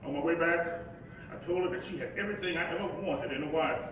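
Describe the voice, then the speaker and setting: Actor playing a high-voiced young husband, echoey stage. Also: male voice, natural voice. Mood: fond, reminiscing. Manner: halting, repeats words, chuckling delivery. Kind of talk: live staged play reading, storytelling monologue and dialogue. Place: large echoey room, live staged reading. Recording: very echoey live hall, distant mic, faint noise.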